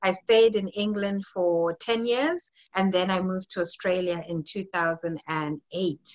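A woman's voice: lowish voice, fairly even intonation, measured pace, dull audio. A woman in her late thirties from Zimbabwe, a fitness coach answering interview questions in a friendly, thoughtful way with some hesitation. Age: 30s.

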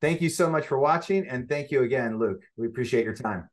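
A man hosting a podcast interview, relaxed and encouraging, asking short follow-up questions. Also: medium pitch, fairly quick. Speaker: podcast host.

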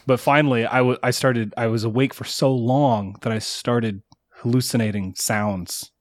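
A man's voice: medium pitch, steady tone, normal speed, no room sound, clean studio sound. A man co-hosting a casual podcast, recovering from a chest cold, rambling through a story and chuckling often. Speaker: podcast co-host. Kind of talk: casual chat.

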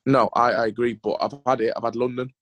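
A man's voice: mid-range voice, little pitch movement, fast talker, fairly bright tone, dry recording. A male podcast host talks in easy banter with plenty of slang. His voice is recorded dry and close, with no room sound. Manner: laid-back banter with slang. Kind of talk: casual chat.

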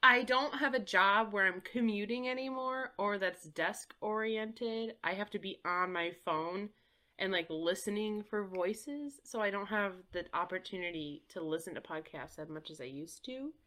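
A woman's voice: mid-range voice, normal speed, sharp tone. A young woman, a podcast guest who rambles and laughs at herself in casual chat. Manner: rambling, giggly, self-deprecating.

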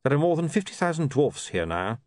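A calm ruler of a storybook fantasy city , acted out by a man. He speaks with measured precision and cool irony, a little higher in pitch, never ruffled. The sound is close and dry. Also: dry recording. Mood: calm, ironic.

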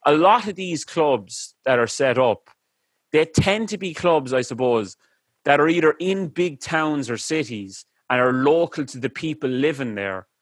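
A man's voice: a little high, animated, measured pace, no room sound. A man with an Irish accent, chatting on a podcast in a rambling way with lots of fillers. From Ireland. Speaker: podcast host. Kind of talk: casual chat.

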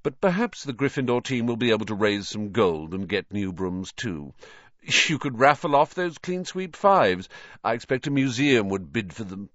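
A sneering, spoilt schoolboy from a storybook, acted out by a man. He taunts smoothly and smugly, with an animated rise and fall in pitch. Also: moderate pace, dry recording, dull audio.

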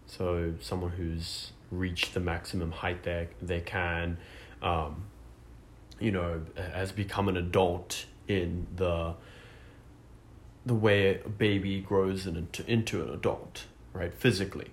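A man's voice: low voice, little pitch movement, takes it slowly, a little hiss or hum. A man in his twenties hosting a casual podcast chat, talking with many fillers and restarts. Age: 20s. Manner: hesitant, lots of fillers and restarts. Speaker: podcast host.

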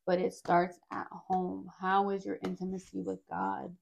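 A woman's voice: fairly low pitch, completely flat delivery, measured pace, vocal fry, noisy background. A woman in a casual faith discussion, speaking in an affirming, reflective and somewhat preacherly way.

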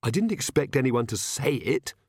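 A man's voice: mid-range voice, very animated, normal speed, darkish tone, breathy edge, dry recording. A man voicing a prickly, fretful young mother with a dry, resentful manner in a storybook reading. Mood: hissed, defensive.

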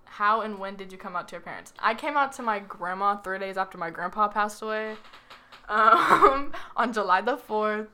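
A woman's voice: mid-range voice, moderate pace, sharp tone, a little hiss or hum. A tired teenage girl co-hosting a podcast, talking in laid-back, slangy casual chat. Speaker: podcast co-host.

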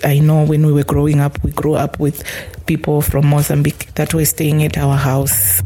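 A middle-aged woman from Zimbabwe with a Zimbabwean accent, telling her life story in an interview in a soft, halting, reflective way. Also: low voice. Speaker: children's home caregiver.

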